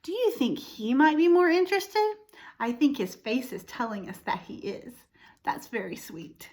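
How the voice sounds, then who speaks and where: A woman reading a picture book aloud to young children, pausing to explain things simply and ask questions. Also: on the high side. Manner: expressive, asks the listener questions, explains simply. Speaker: storytime reader for young children.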